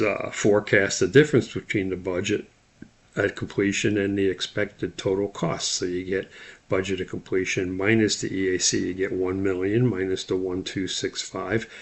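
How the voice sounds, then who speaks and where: A man giving an instructional lecture, explaining things methodically step by step as he talks through slides. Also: low voice. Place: voice-over on a slide screen recording.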